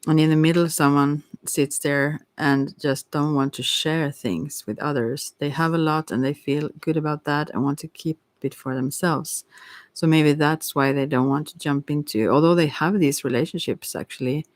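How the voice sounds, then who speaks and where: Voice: medium pitch, steady tone, moderate pace, no room sound. Rambling, hesitant tarot reader, dry close sound. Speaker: tarot reader on a live stream. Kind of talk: casual improvised tarot reading chat.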